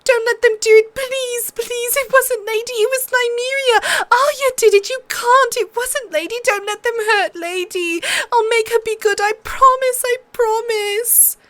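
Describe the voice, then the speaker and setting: A terrified young girl, speaking very high and urgently. She pleads frantically and tearfully, full of desperation, in an audiobook performance. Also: unhurried, hardly any pauses, fairly bright tone. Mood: desperate pleading. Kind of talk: audiobook character voice.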